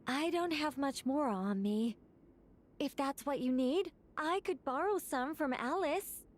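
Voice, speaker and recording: High-voiced serene angel. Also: female voice, lively intonation, unhurried. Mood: serene, playful. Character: ancient female angel, serene, playful and informal. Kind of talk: video game voice acting.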